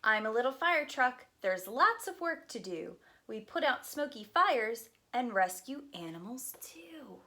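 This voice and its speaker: A woman's voice: fairly high pitch, very animated, measured pace, few pauses, pushed and bright, heavily acted. A woman voicing an eager, proud little fire truck in a children's picture book, with emphatic sing-song rhyming delivery.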